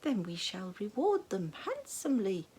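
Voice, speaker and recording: A woman voicing a frail, doddering old king who rants, with a quavery, breathy voice. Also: mid-range voice, sing-song, moderate pace, dark tone, slight background noise, voice pushed up, close to an everyday voice. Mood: doting.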